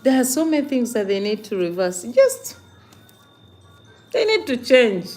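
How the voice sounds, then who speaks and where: A woman's voice: fairly high pitch, big pitch swings, unhurried, a little mellow, faint music behind. A woman from Uganda with a Ugandan accent hosts a casual livestream, talking to viewers emphatically, with repeated phrases, rhetorical questions and questioning hums. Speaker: livestream host.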